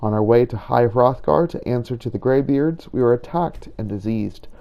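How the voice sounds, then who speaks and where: A man narrating a fantasy game story in character, in a measured, scripted delivery like a journal. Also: mid-range voice, vocal fry, dull audio.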